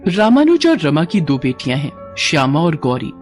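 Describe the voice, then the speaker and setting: A woman narrating a family story in scripted storytelling, warm and even. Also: deep voice, moderate pace, over loud music.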